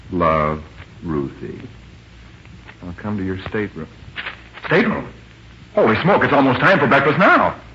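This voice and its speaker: An actor playing an exasperated young male ship passenger in 1940s radio style, stammering and blustering his way along. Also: sits low. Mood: puzzled. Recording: muffled, hissy old radio recording.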